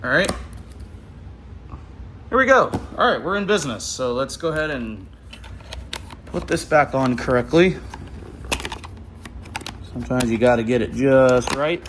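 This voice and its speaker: A man's voice: mid-range voice, animated, measured pace, pauses often, background noise. An American man giving casual hands-on unboxing commentary in an informal, enthusiastic way. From Ohio, United States. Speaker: vlogger. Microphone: handheld camera.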